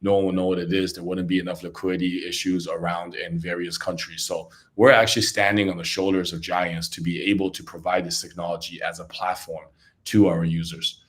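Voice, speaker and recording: A man's voice: low-pitched, flat delivery, fairly quick. A man who grew up in Congo, a startup CEO, giving casual interview answers over a remote call and often tagging his points with "right?". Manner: conversational, often tags "right?".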